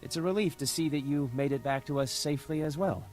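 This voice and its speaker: A man's voice: a little high, fast, natural voice. An actor playing an earnest male ship's officer in a scripted space opera video game. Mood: relieved, grateful. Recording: game dialogue with loud background music. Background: music.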